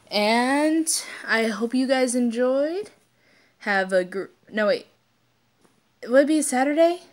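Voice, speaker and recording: A woman with an informal, unpolished delivery who stumbles now and then, reading stories aloud and chatting to her listeners as an amateur channel host. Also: on the high side. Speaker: amateur fan fiction reader and channel host.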